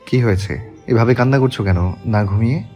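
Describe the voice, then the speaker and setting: A man playing a tender young husband in a romantic audio story, speaking softly and gently. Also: fairly quick, natural voice. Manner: soft, gentle, low-voiced. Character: tender young husband, soft-spoken and teasing. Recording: soft background music.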